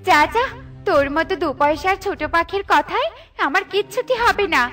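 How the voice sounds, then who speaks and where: An arrogant cartoon banana tree played by a woman speaks in an extremely high voice. It is boastful and haughty, looking down on others, with music playing behind it. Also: expressive, normal speed, a bit bright. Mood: scornful, angry. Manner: haughty and dismissive. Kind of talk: cartoon fairy-tale dialogue acting.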